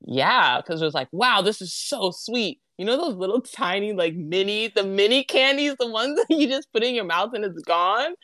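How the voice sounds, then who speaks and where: A young woman from Southern California, a guest on a podcast, talking in a giggly, animated way. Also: medium pitch, moderate pace, few pauses, no room sound, studio quality. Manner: giggly and animated, with many fillers. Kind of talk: casual chat.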